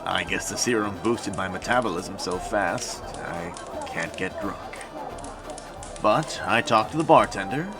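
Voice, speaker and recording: A man's voice: lowish voice, measured pace, over loud music, a loud crowd. A man playing a friendly, considerate young soldier hero in an audio drama, casual and sincere.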